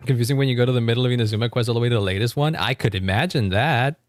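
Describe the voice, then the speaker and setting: A man's voice: medium pitch, quick pace, dry recording, clean studio sound, soft background music. A man livestreaming a game, chatting casually with viewers in a rambling, informal way with some mild swearing.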